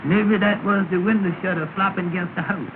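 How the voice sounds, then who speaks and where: A man's voice: high-pitched, fairly even intonation, a little fast. An actor playing a timid, jittery, submissive man in an early 1930s comedy film, asking hesitant questions in folksy grammar on a scratchy old soundtrack. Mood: nervous. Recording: scratchy, noisy, narrow-band 1930 film soundtrack.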